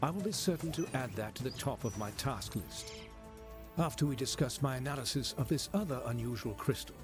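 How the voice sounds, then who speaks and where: An actor playing a calm, precise male android who speaks in a formal, measured, literal way. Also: mid-range voice, music in the background, lightly acted.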